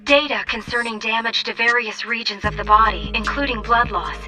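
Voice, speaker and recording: An actress playing a young, precise military analyst who gives informative mission briefings in a video game. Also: female voice, on the high side, brisk, over music, lightly acted. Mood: explanatory. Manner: informative, articulate.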